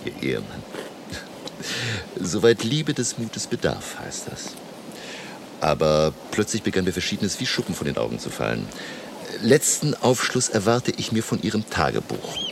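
An actor playing a courteous, earnest older professor in a radio play, speaking in a deliberate, courtly way. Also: male voice, low-pitched, vocal fry, noisy background, exaggerated voice. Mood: warm, animated. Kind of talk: German radio play acting.

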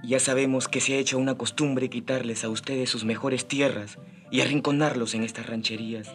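An actor playing a mild, earnest, sympathetic friar in a radio drama, with a hesitant, conciliatory manner. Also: male voice, mid-range voice, fairly even intonation, brisk, soft background music, natural voice.

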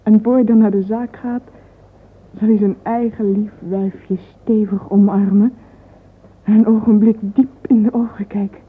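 An actress playing a dreamy, vain young woman in a radio drama monologue, intimate, sentimental and often breathy. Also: medium pitch, flat delivery, unhurried. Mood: romantic yearning. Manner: intimate, wistful, often breathy and half-whispered. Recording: narrow phone-like old radio recording, faint hiss.

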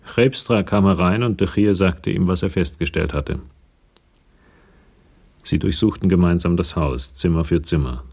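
A man's voice: sits low, normal speed, vocal fry, a little mellow, slightly rough, exaggerated voice. A man narrating a police crime radio drama from a script. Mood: measured.

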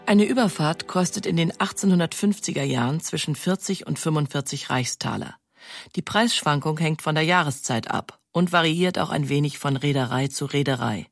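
A woman reading scripted documentary narration in a factual, measured manner. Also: sits low, no room sound, clean studio sound.

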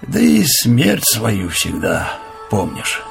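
An actor playing a gentle, witty old monk in a radio play, telling folksy lessons in a husky, creaky voice. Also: male voice, lowish voice, huge pitch swings, takes it slowly, soft background music, exaggerated voice. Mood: humble, cheerful. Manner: folksy, teasing, humble, instructive. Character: gentle, witty old monk elder, ailing but cheerful. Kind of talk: Russian radio drama acting, telling parables.

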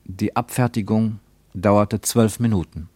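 A man reading a scripted literary narration for a radio play in a measured, deliberate way. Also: on the low side, fairly even intonation, mellow, dry recording.

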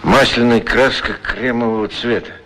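An actor playing a gentle, musing shoe shiner in a radio play, talking haltingly and repeating his words to himself. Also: mid-range voice, fairly even intonation, a little hiss or hum, low-quality recording. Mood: curious, probing. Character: gentle, musing male shoe shiner who chats with shoes. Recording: 1960s radio drama recording.

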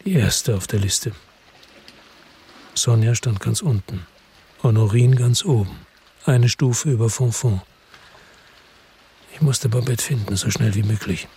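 A man's voice: medium pitch, measured pace, pauses often, mellow, a little hiss or hum, lightly acted. An actor playing an embittered, defiant male loner in a radio crime drama, speaking in a terse, sardonic, evasive way. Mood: bitter, brooding. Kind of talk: radio crime drama with first-person narration.

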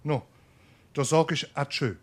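A man's voice: a little high, a little slow, slight background noise, natural voice. Man playing a meek Hessian tradesman creditor. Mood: meek, plaintive. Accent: Hessian, Darmstadt dialect. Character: humble, worried tradesman creditor, meek and polite. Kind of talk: dialect stage comedy acting.

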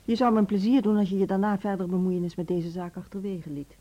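An actress in a Dutch radio drama playing a stern, controlling middle-aged music school headmistress who speaks curtly and with authority. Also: female voice, medium pitch, steady tone, fast, lo-fi sound, close to an everyday voice. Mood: firm, placating. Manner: authoritative, curt.

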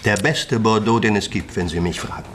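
An actor playing a guarded, resigned country innkeeper in a radio crime drama, answering in a terse, evasive way. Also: male voice, on the low side, a little fast, very noisy, natural voice.

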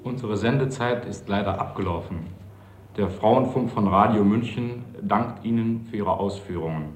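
A man's voice: lowish voice, moderate pace, music in the background, close to an everyday voice. A man playing a polite, formal radio announcer of the late 1940s in an audio drama. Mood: courteous, formal. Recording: echoey room, faint noise.

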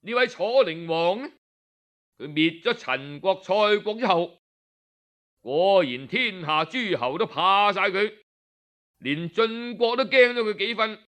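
A man's voice: high-pitched, lively intonation, measured pace, sharp tone. A man with a Cantonese accent telling a story in a dramatic, colloquial audiobook style, full of rhetorical touches. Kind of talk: traditional dramatic oral storytelling, audiobook narration.

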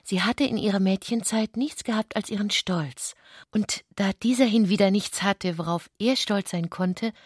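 A woman narrating literary prose for a radio play in a breathy voice. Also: mid-range voice, no room sound, studio quality.

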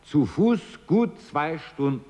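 An actor playing a matter-of-fact railway ticket clerk in a radio drama, recorded dully in a reverberant hall. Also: male voice, fairly high pitch, big pitch swings, slow, mellow. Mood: helpful.

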